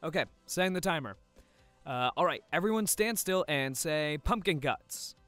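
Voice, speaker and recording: A man's voice: fairly high pitch, expressive, normal speed, fairly bright tone, dry recording, faint music behind. Casting: man as easygoing party photographer, lighter male voice, friendly, dry sound. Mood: relaxed, busy. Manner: casual, friendly. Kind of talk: audio roleplay acting.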